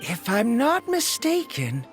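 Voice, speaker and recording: A woman playing a careful, bookish young scholar in a video game, speaking in a studious, measured manner. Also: fairly high pitch, wildly swooping pitch, full character voice. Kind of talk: video game character voice acting. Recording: music under the voice.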